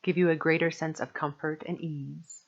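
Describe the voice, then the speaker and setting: A woman guiding a meditation as a teacher, speaking gently and soothingly in measured phrases with long pauses. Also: low-pitched, steady tone, dull audio. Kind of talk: guided meditation narration.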